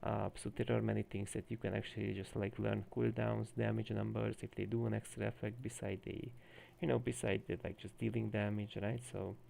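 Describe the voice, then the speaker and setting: Gravelly Hungarian man coaching. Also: fairly low pitch, level tone, a little fast, warm tone, slight background noise. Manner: explanatory, many fillers like "you know". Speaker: game coach. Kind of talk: live-streamed coaching session.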